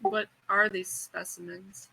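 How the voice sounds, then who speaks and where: A woman's voice: mid-range voice, little pitch movement, slow, vocal fry, bright, forceful tone, slight background noise, low-quality recording. A woman chatting casually as a player in a tabletop roleplaying game.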